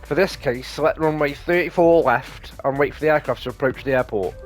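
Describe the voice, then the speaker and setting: A man's voice: fairly high pitch, brisk. A man hosting a gaming video, casually explaining play and reading tutorial text aloud in a rambling, explanatory way. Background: light game music.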